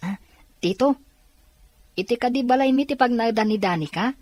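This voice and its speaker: A woman's voice: on the high side, moderate pace, a little mellow, no room sound, natural voice. A woman playing a kind, encouraging young cousin in an audio drama.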